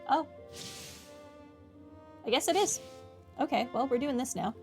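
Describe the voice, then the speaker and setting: A woman's voice: high voice, expressive, measured pace, faint music behind. A young adult woman with a sore throat, casually thinking aloud while playing a game. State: sore throat, slightly losing her voice. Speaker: gameplay streamer. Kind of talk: casual gameplay commentary.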